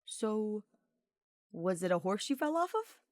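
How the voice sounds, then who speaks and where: A woman's voice: medium pitch, very animated, unhurried, no room sound, studio quality, voice pushed up. A woman voicing a teasing, easygoing young male knight in a fantasy story, slangy and informal. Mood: playful.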